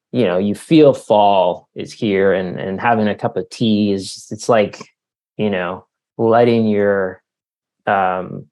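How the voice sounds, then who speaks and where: A man speaking casually and reflectively as an interview guest, halting often as he searches for the right words. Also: lowish voice, a little mellow. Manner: halting, thoughtful, searching for words with long hesitations. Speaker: author being interviewed.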